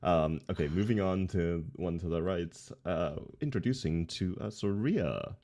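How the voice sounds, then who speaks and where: A man's voice: deep voice, unhurried, dark tone. A man hosting a livestream with casual, jokey chat, introducing things and welcoming viewers informally. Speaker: stream host.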